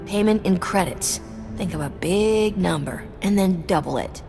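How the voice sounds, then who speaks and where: A woman's voice: lowish voice, unhurried, big-room sound, music in the background. An actress playing a cocky female smuggler captain in a video game, dry, wry and blunt. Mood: firm, wry. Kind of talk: video game dialogue acting.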